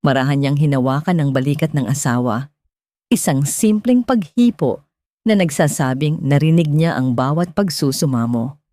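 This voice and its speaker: A Filipino woman reads a dramatic story with a soft-edged, mellow tone and a fairly low voice. Her storytelling is measured, earnest and sentimental, captured in a close, dry recording. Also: dry recording. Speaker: narrator of a drama story podcast. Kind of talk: scripted dramatic story narration.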